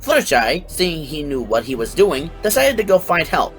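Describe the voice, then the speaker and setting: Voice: medium pitch, big pitch swings, moderate pace, fairly bright tone, soft background music. A narrator reading a scripted fan fiction story.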